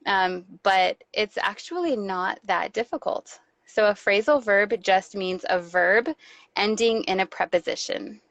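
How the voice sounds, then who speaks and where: A woman's voice: mid-range voice, unhurried, a bit bright. A woman from Los Angeles with an American accent, a guest teacher patiently explaining English phrases. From Los Angeles, California, USA.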